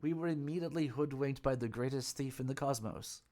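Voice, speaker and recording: A man voicing a young male temple attendant who is suspicious and quick to accuse, speaking in a sharp, sarcastic way. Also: medium pitch, lightly acted.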